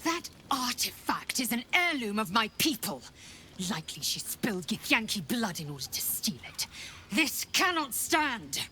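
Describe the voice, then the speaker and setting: A woman's voice: fairly high pitch, very animated, moderate pace, sharp tone, slight background noise. A woman playing a proud, hostile alien warrior in a video game, speaking in curt, scornful, formal phrases. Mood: threatening, indignant.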